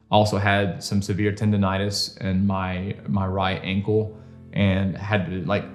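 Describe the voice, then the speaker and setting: Earnest man, fairly low voice, measured documentary interview, echoey room. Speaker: triathlete interviewee. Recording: echoey room under music.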